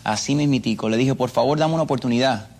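A man's voice: medium pitch, fast talker, darkish tone. Earnest Cuban man telling his testimony. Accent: Cuban Spanish. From Cuba. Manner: earnest, storytelling, repeats phrases. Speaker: interview guest. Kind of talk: personal testimony.

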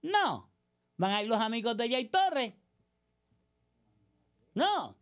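A woman's voice: on the high side, very animated, slow pace. Combative Puerto Rican woman commentator. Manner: sarcastic, accusatory, fires rhetorical questions. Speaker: political commentator. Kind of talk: combative monologue.

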